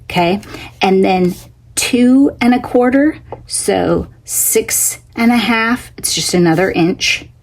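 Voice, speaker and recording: A woman hosting a casual crafting tutorial, scratchy from a cough, chatty and homey, thinking out loud as she works at her craft table. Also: mid-range voice, slow pace. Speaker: craft channel host.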